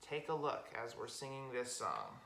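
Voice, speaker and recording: A woman's voice: a bass voice, big pitch swings, moderate pace, creaky. A woman hosting a storytime for young children, talking and singing to them in simple terms. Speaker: children's storytime host and singer. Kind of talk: children's singalong presenting. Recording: roomy echo, loud acoustic guitar music. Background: acoustic guitar music.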